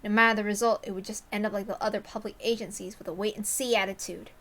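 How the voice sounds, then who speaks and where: Bright-voiced woman reading a story aloud. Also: mid-range voice, fairly even intonation, quick pace. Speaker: narrator reading a visual novel aloud. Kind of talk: expressive story narration with casual asides.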